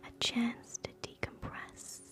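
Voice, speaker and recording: A woman hosting live ASMR in a soft, breathy whisper, gentle and soothing, moving between guided relaxation and quiet chat. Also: slow pace. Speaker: ASMR streamer, host.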